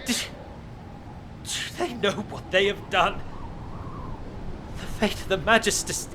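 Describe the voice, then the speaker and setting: An actor playing an eccentric, obsessive and excitable scientist in a video game, speaking in a theatrical, wordy, formal way. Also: male voice, high voice, slow pace, background noise. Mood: stunned disbelief.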